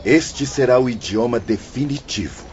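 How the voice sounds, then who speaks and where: A man's voice: mid-range voice, normal speed, natural voice. A man acting a patient, learned spirit guide in an audio drama, speaking in a formal, didactic way. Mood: solemn, instructive. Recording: dull lo-fi audio, faint noisy ambience.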